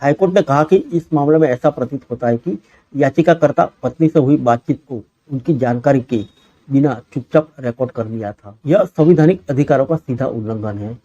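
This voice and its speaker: Man reading news, dark muffled voice. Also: medium pitch, no echo. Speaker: news presenter. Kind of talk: scripted news bulletin reading.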